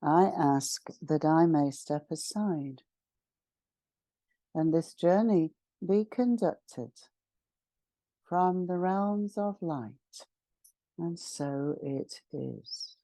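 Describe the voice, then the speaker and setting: Female meditation guide: extremely slow, solemn and ceremonial, soft-edged mellow tone, long pauses between phrases. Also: deep voice, expressive, dry recording. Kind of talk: guided meditation, spiritual invocation and channelled message.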